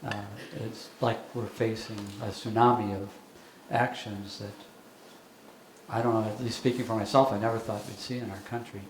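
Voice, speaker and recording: An older man, a bishop, speaking thoughtfully at a lecture with many pauses and fillers. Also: fairly low pitch, little pitch movement. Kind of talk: lecture and Q&A remarks. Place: lecture hall. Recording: echoey hall with background noise.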